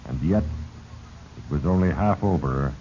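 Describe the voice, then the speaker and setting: Slow, deep, ominous Fate. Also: male voice, dark tone. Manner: grave, deliberate, ominous. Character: Fate, sinister solemn male spirit narrator manipulating mortals. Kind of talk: 1940s radio drama narration. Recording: dull, noisy 1940s radio recording.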